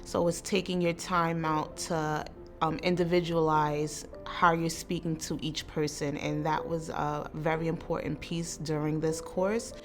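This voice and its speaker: Calm low-voiced New York woman. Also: unhurried. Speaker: student. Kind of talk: interview testimonial. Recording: soft music underneath. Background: background music.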